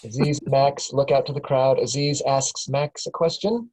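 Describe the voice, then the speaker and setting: Husky man reading stage directions. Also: mid-range voice, steady tone, normal speed, darkish tone, rough recording. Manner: plain reading, small chuckles and restarts. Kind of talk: script table read narration.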